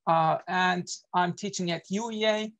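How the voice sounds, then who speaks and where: A man's voice: sits high, fairly even intonation, a little slow, fairly bright tone. A middle-aged man from Turkey with a Turkish accent, running an online workshop in an earnest way, with frequent fillers and self-corrections, heard over a video call. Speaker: workshop presenter, associate professor.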